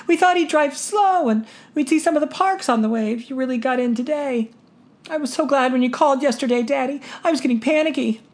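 A reader voicing a bubbly, teasing young woman just engaged, high and airy with giggles in her talk, for an audiobook. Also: fairly quick. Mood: pleading. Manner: teasing, breathless excitement. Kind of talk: audiobook character voice.

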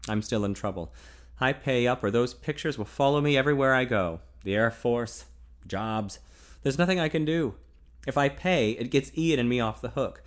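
A man voicing a confused, bitter young man in a read-aloud romance novel, speaking in a halting way. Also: medium pitch, close to an everyday voice. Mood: resigned.

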